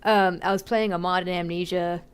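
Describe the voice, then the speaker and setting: A woman streaming a game live, chatting excitedly and giggling as she plays. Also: fairly low pitch, brisk, a little hiss or hum. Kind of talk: casual live gameplay commentary.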